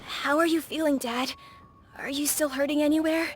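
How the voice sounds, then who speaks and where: A woman's voice: high voice, steady tone, moderate pace, over music, natural voice. A woman voicing an earnest, anxious young boy in a video game. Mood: worried, caring. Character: earnest, worried young boy devoted to his father. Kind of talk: video game character acting.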